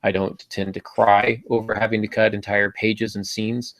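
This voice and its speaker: A man's voice: lowish voice, flat delivery, moderate pace, a bit bright, no room sound, low-quality recording. A man hosting a writing podcast, chatting in an upbeat, casual way with frequent restarts. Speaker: podcast host.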